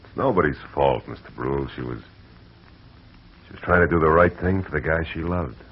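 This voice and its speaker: An actor playing a world-weary private detective who narrates in a dry, laconic, hard-boiled way, in a 1940s radio drama on an old lo-fi broadcast. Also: male voice, very deep voice, brisk.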